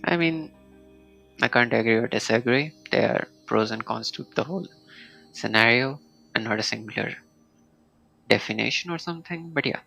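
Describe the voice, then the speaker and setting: A male game streamer chats casually over faint game music. His voice is bright and gravelly at a medium pitch, and he talks informally with hesitant asides. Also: normal speed, pauses often, low-quality recording. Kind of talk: casual live game commentary.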